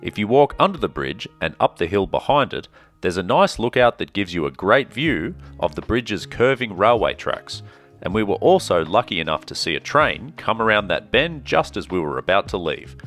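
A man narrating his travel vlog in a calm, measured, scripted way. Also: fairly low pitch, faint music behind. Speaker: travel vlogger.

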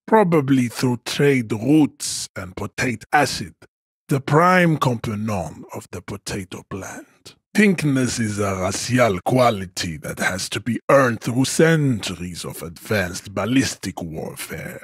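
Hulking game strongman, haughty supremacist, very slow delivery, lowish pitch, solemn, pompous, declamatory. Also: animated, breathy edge, dry recording, studio quality, full character voice. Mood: cold, haughty. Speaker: video game voice actor. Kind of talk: scripted game character monologue.

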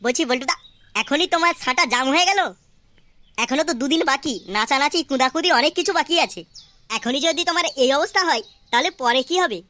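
A woman with a West Bengali accent voicing a cheeky, excitable young village sidekick in an amateur comic cartoon. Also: sits high, fast. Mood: teasing.

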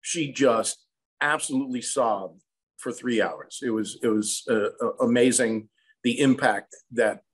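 An American man in his sixties, an interview guest telling anecdotes in a reflective, measured, conversational way. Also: mid-range voice, breathy edge, low-quality recording. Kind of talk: conversational storytelling. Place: room at home, indoors. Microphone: video call.

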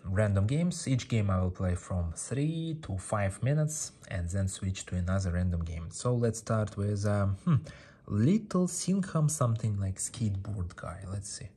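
A man's voice: lowish voice, animated, measured pace, mellow, slightly rough. A man who speaks as a gives casual mobile game commentary in short fragmented phrases, often repeating words. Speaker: gaming video host. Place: indoor room, playing on a tablet. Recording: music playing behind the voice. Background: game music.

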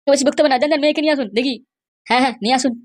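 A man voicing a cheeky, nagging middle-aged father in a comic cartoon, brash and mocking, with a pitched-up voice. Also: steady tone. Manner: brash, mocking, fast-talking.

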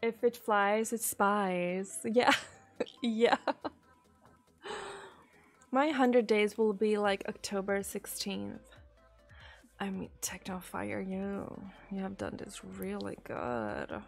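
A woman in her thirties from Sweden with a Swedish accent, chatting casually and giggling as she reads viewer messages on a live stream from a small room, into a desk mic on a boom arm. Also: vocal fry, faint music behind. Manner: giggly, chatty, drawn-out pauses.

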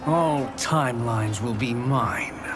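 An actor playing an arrogant, power-hungry evil sorcerer in a video game, smug and threatening in delivery. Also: male voice, medium pitch, takes it slowly, close to an everyday voice. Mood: defiant. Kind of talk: dramatic video game villain acting. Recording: loud music under the voice.

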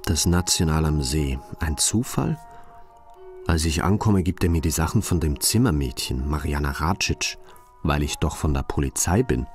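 A man's voice: very deep voice, animated, moderate pace, darkish tone, soft background music. A man playing a dogged, troubled police investigator in a German crime radio play. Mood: grave, matter-of-fact.

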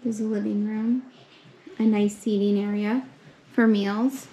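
Young woman, slow upbeat room-tour narration, roomy echo, faint hum behind, handheld camera mic. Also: medium pitch, flat delivery, mellow. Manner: slow, upbeat, pointing things out. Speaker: vlogger giving a room tour. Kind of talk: casual walkthrough narration. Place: indoors in a hotel suite. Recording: echoey rooms with faint ambience.

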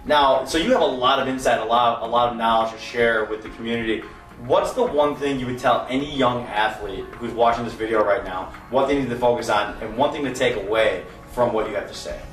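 A man's voice: mid-range voice, fairly quick, fairly bright tone, distant mic, faint music behind. A man with a Chicago accent, a trainer from Chicago, interviewing a former client with direct, promotional questions. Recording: roomy, off-mic voice.

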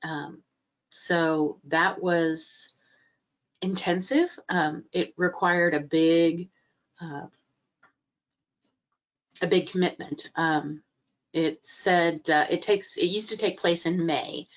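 A low-pitched middle-aged woman talking over a video call, her voice a bit stuffy from a cold. She hesitates often, circling back with fillers and restarts. The sound is muffled and dull. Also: fairly even intonation, slow pace, pauses often. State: recovering from a cold, a little stuffy. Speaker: guest speaker, library trainer. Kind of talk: webinar talk.

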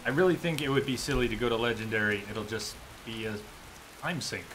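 A man's voice: medium pitch, fairly quick, background noise. A man in his thirties livestreaming a game indoors with casual commentary, musing aloud as he chats to viewers. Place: indoor streaming setup. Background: game music and ambience.

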